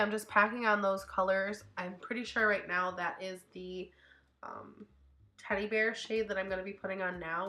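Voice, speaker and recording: A woman beauty vlogger with a cold and a stuffy nose, chatting casually as she narrates her makeup tutorial. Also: medium pitch. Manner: chatty, upbeat, thinks out loud with fillers. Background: faint background music.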